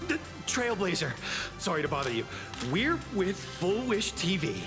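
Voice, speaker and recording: Eager, polished game interviewer: very animated delivery over clear background music. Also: mid-range voice, moderate pace. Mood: eager, professional. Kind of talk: anime game acting as TV interview.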